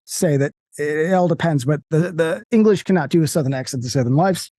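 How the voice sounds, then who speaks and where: American man, mellow dry voice. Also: fairly high pitch, quick pace, no echo. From United States. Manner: animated, profane, slips into mock voices. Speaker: podcast guest. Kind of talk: casual movie discussion.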